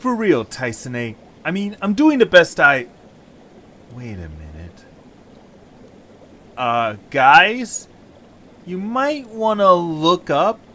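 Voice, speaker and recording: A man's voice: fairly high pitch, huge pitch swings, slow, pauses often, pushed and bright, pitched above the performer's own voice, full character voice. A man playing an earnest, exasperated young leader in a fantasy audio adventure. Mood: defensive, hesitant.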